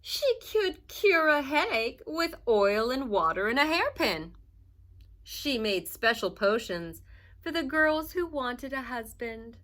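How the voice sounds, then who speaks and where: A woman's voice: sits high, expressive, slow pace, fairly bright tone. A woman reading a children's story aloud like a teacher, expressively and with dramatic emphasis. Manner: dramatic emphasis, asks listeners questions.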